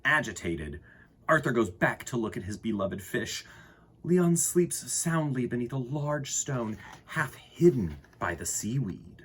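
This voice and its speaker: A man reading a children's picture book expressively, with deliberate pauses for effect. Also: medium pitch, sing-song, fairly bright tone, breathy edge. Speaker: storyteller, host.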